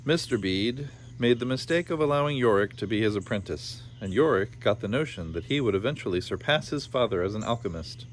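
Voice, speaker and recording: A man reading a children's story aloud in a playful style, with informal asides. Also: medium pitch. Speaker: storybook reader. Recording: clear background noise behind the voice.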